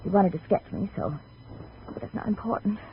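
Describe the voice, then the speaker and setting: A woman's voice: medium pitch, sing-song, brisk, creaky, slightly rough, heavily acted. An American actress playing a desperate, pleading, stubborn young woman in a 1950s radio drama. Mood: guarded, subdued. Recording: muffled, phone-like old radio recording.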